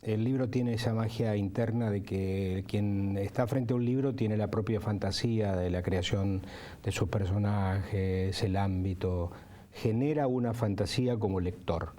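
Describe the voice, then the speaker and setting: A man's voice: lowish voice, steady tone, measured pace, mellow. A man giving reflective interview answers, circling and hesitating over his phrasing.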